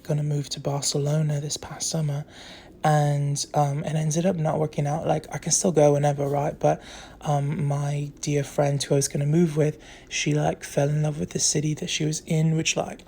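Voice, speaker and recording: A young adult speaking in a put-on British accent, rambling candidly and softly to followers into a phone, saying "like" often, with a husky, creaky voice that trails into breathiness. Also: mid-range voice. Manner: soft, candid, meandering with frequent "like". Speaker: social media content creator. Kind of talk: casual rambling confessional chat to followers.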